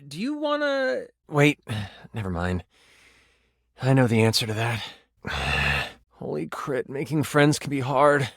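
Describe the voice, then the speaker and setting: A man's voice: mid-range voice, wildly swooping pitch, slow, vocal fry, fairly bright tone, no echo, clean studio sound, full character voice. An actor voicing a personified gaming die as a nerdy, earnest, awkward man who stammers and gets theatrical, in video game style. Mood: shy, discouraged. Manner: hesitant, stammering, effusive, theatrical gamer talk.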